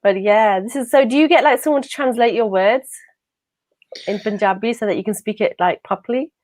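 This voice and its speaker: A woman's voice: a little high, fairly quick, a little mellow. A woman co-hosting an interview, chatty and laughing easily as she asks her question. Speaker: co-host. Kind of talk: casual interview chat.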